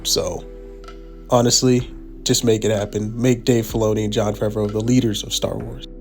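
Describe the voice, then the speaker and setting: A man with a hoarse voice from being sick, giving heated opinion commentary over a video, repeating himself for emphasis. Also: lowish voice, little pitch movement, moderate pace, faint music behind. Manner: pleading, repetitive emphasis.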